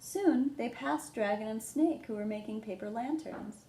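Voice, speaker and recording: A woman hosting a children's storytime, explaining things simply and gently and reading a picture book aloud. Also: on the high side, normal speed. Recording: roomy echo, background noise.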